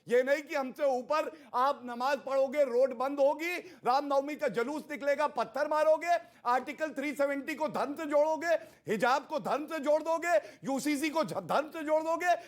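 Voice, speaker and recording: High-pitched combative man in TV debate. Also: normal speed, few pauses. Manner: combative, rhetorical, repetitive. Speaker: debate panelist. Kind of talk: heated TV debate.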